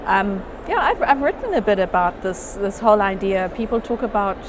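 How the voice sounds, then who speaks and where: A woman from Zambia in her fifties, chatting frankly and lively in an interview. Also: mid-range voice, brisk. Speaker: interviewee, geneticist. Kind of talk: casual interview chat. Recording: loud outdoor noise.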